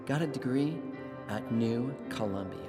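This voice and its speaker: An actor playing a smirking, gossipy corporate agent in a video game, with a leisurely, teasing manner. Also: male voice, mid-range voice, animated, unhurried, music in the background.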